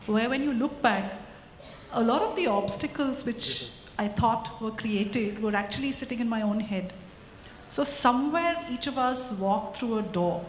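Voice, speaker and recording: A woman speaking as a panelist on a conference stage, reflective and reassuring, into a handheld mic. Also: on the high side. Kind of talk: panel discussion. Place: conference stage before an audience. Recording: echoey hall with faint noise.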